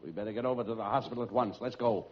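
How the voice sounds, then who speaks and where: A man's voice: medium pitch, steady tone, very fast, lightly acted. An actor playing an earnest, rather dim police lieutenant as the straight man in an old-time radio comedy. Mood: urgent. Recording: muffled noisy radio recording, audience behind.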